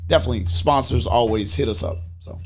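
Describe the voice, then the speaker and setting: Creaky-voiced man hosting a casual podcast. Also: mid-range voice, fast talker, faint music behind. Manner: informal, jokey, profane. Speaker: podcast host. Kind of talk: casual group podcast chat.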